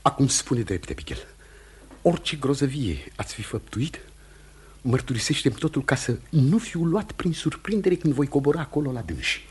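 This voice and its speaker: An actor playing an anxious, domineering middle-aged Puritan pastor in a theatrical radio drama, urgent and imploring. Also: male voice, medium pitch, lively intonation, slight background noise, lightly acted. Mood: anguished, accusing. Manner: fretful, urgent, imploring, then interrogating.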